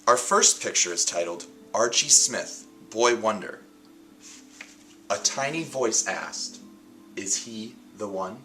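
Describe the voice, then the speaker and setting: A man hosts a storybook read-aloud in a lowish, very animated voice. He phrases each line dramatically and deliberately, leaving emphatic pauses. Faint music plays behind him. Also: poor audio. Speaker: host reading a picture book aloud and setting a writing challenge.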